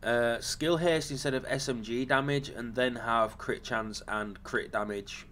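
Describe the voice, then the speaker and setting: Slightly raspy man walking through a game build. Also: medium pitch, unhurried, a little hiss or hum. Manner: relaxed and rambling, with frequent ums and self-corrections. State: slightly raspy from a lingering cough. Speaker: gaming channel host. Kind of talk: casual game build walkthrough.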